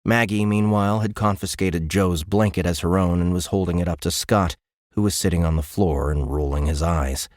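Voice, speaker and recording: A man's voice: deep voice, fairly even intonation, normal speed, darkish tone, no echo, studio quality. A man reading scripted fiction as an audiobook narrator in a dramatic storytelling style. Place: dry recording studio.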